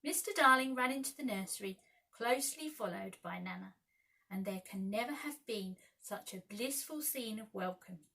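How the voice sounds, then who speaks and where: A woman storyteller reads to children in a bright, forceful voice. Her narration is expressive and dramatic, with carefully measured phrases and an ordinary middle pitch. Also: gravelly, slightly rough, poor audio. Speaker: storyteller, school staff reader.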